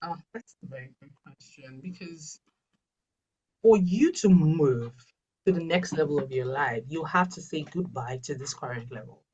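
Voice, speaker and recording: A woman's voice: low-pitched, expressive, moderate pace, a little mellow, slightly rough, far from the mic, muffled recording. A Yoruba-speaking woman, a life coach answering webinar questions earnestly and emphatically, often repeating her key phrases. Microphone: video call.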